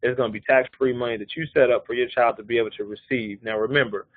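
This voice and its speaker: A man's voice: mid-range voice, steady tone, quick pace, no room sound. Man in his thirties on a phone line. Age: 30s. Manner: explains step by step, often checking in with "okay". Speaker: life insurance presenter. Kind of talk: live conference-call walkthrough. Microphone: phone or call line. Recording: narrow phone-line audio.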